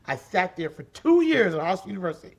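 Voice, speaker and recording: A woman in her forties with a deep, raspy voice, telling her story as a podcast guest in an animated, emphatic way and repeating key phrases. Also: quick pace. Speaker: podcast guest, special education advocate. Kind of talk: conversational interview storytelling.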